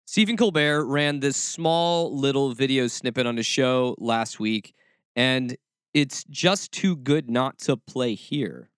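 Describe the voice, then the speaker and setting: A man's voice: medium pitch, slow pace, fairly bright tone, clear, resonant tone, no echo, studio quality. A man hosting a talk show, giving casual commentary peppered with wry, sarcastic asides. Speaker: podcast host.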